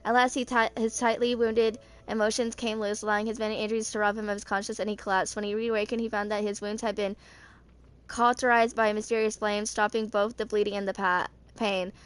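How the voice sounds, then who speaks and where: A woman in her twenties reading character lore aloud, haltingly, stumbling over words now and then. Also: mid-range voice, little pitch movement. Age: 20s. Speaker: video creator.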